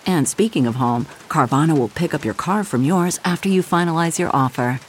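A woman reading a radio ad in a smooth, friendly way. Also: deep voice, lively intonation, fairly quick, a little hiss or hum. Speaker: ad narrator. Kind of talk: radio ad read.

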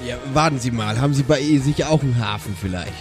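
A man's voice: mid-range voice, fast, over music, close to an everyday voice. A man playing a chummy, reckless, folksy sailor with a cheeky manner in an improvised audio play. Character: chummy, reckless old-salt male sailor, reminiscing.

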